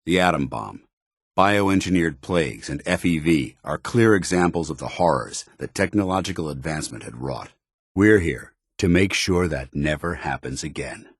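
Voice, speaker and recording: An actor playing a stern, proud and earnest soldier companion in a video game, speaking formally with clipped, commanding delivery. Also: male voice, a bass voice, animated, moderate pace, slightly rough, no room sound, studio quality, exaggerated voice. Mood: stern, guarded.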